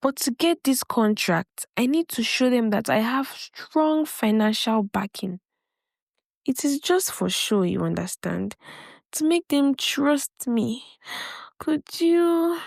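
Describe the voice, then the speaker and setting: A woman voicing a smooth-talking, scheming male suitor in a coaxing, reassuring manner. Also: fairly high pitch, lively intonation, slow, a little mellow, no echo, studio quality, exaggerated voice.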